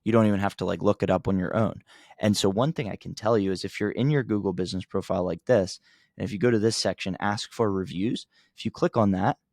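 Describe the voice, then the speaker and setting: A man with a deep, creaky voice explains things like an informal online instructor. His delivery is conversational and plain-spoken, with emphasis on practical advice. The recording is dry and close, with little room sound. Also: quick pace, darkish tone, no echo. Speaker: host of marketing tutorial for contractors. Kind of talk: casual unscripted instructional talk.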